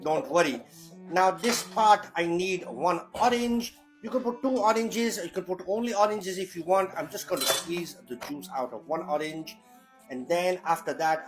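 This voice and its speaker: A man with a Yorkshire accent hosting a crude, jokey home recipe demo, swearing freely as he talks through each step in his kitchen. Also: sits high. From Yorkshire, England. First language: Indian heritage. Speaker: cooking show host.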